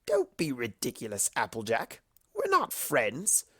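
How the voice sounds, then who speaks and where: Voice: medium pitch, huge pitch swings, a little slow, breathy edge, no echo, clean studio sound, exaggerated voice. A narrator voicing a sly, theatrical male prankster creature of chaos in a dramatic, teasing, smug way for a cartoon fan story.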